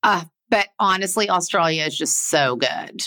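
A woman's voice: fairly low pitch, expressive, moderate pace, sharp tone. A woman co-hosting a podcast in casual, informal chat, talking as if to a girlfriend. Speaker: podcast co-host.

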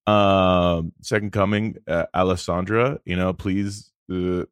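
A man's voice: low-pitched, steady tone, takes it slowly, smooth, no echo. A male podcast co-host who teases and acts mock-outraged. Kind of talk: casual podcast chat.

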